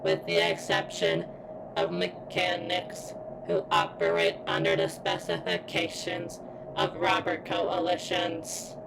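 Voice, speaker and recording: Woman voicing a creaky, pained robot girl. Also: extremely low pitch, very animated, heavy background noise, full character voice. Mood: formal, strained. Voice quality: strained, as if in pain. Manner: formal, halting, deliberate robotic delivery. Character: pained female robot security bot craving freedom and sentience. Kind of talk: ASMR roleplay.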